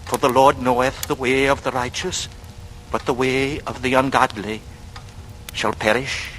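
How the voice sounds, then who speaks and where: An actor playing a soothing young servant with hidden menace, coaxing in rustic working-class speech, in a radio drama. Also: male voice, medium pitch, little pitch movement, a little slow, a bit bright, natural voice. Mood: solemn. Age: young adult. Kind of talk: 1940s radio acting. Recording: noisy vintage radio recording.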